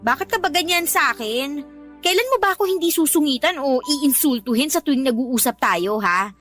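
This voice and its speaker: A woman's voice: high voice, lively intonation, moderate pace, a bit bright, soft background music. A Filipino woman acting a brazen, demanding young mistress in a radio drama, whiny and defiant. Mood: indignant.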